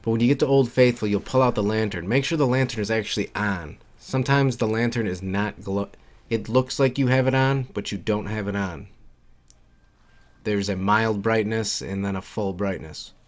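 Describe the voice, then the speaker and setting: A man's voice: fairly low pitch, moderate pace. A man giving casual, instructional walkthrough commentary for a video game, informal and repeating his key points. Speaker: game guide creator and narrator.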